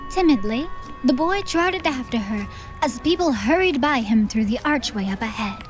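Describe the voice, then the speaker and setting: A woman's voice: high-pitched, expressive, normal speed. A woman narrating a fantasy audiobook in a scripted, theatrical storytelling style. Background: soft music.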